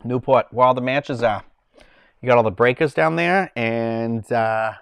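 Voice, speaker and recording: A man's voice: medium pitch, a little slow, a bit bright, clear, resonant tone, no room sound. A man with a Boston accent, hosting a casual livestream from home and chatting in a rambling way while he reads the chat. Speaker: livestream host.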